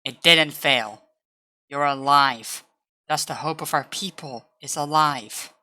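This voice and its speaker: Woman playing low, bright-voiced blunt loyal female comrade. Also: slow pace, exaggerated voice. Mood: scolding, urgent. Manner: firm, scolding yet earnest. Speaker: voice actor. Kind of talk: dramatic roleplay voice acting.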